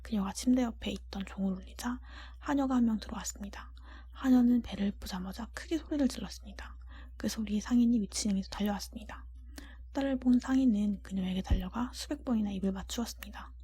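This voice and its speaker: A woman whispering a storybook in a breathy voice, gently and deliberately, as an ASMR reading. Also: medium pitch. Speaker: audiobook-style narrator.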